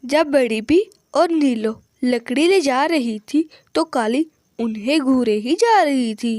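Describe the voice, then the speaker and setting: A woman reads a children's story in a high voice. She takes it slowly and the sound is dry and close, in the manner of a scripted animal tale. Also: animated, darkish tone, no room sound. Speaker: narrator.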